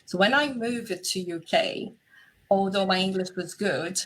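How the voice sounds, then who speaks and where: Brazilian woman, Portuguese native, reflective interview guest, slow deliberate delivery, mid-pitched. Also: rough recording. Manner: earnest, speaks her mind directly. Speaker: interview guest, relationship coach.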